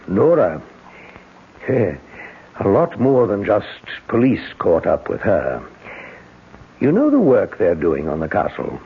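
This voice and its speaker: A man's voice: fairly low pitch, huge pitch swings, slow pace, pauses often, husky, heavily acted. A man playing a protective, wry, reassuring older uncle in a 1970s radio drama, heard through a tinny broadcast. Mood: wry relish.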